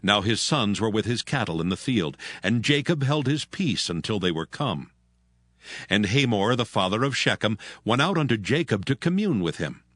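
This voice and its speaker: A man narrating scripture in a measured, solemn way. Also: lowish voice, very animated, a bit bright, no room sound, clean studio sound. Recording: music bed behind voice.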